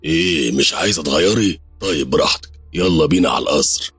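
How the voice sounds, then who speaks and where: A man's voice: rumbling low, fast talker, a bit bright, husky, no echo, faint music behind, full character voice. A man voicing a smug, menacing thug leader who mocks in Egyptian Arabic. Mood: mocking menace. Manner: mocking, menacing.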